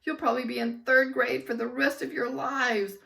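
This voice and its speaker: A woman voicing a gleeful, villainous male school official who shouts and cackles like an evil mad scientist. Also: high voice, flat delivery, moderate pace, creaky, distant mic, pitched above the performer's own voice, heavily acted. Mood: gloating.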